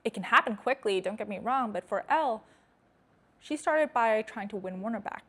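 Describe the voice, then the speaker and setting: A woman's voice: a little high, animated, normal speed, fairly bright tone. A young woman with an American accent, hosting a casual vlog in a direct, emphatic, candid way. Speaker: host. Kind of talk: casual talking-head vlog.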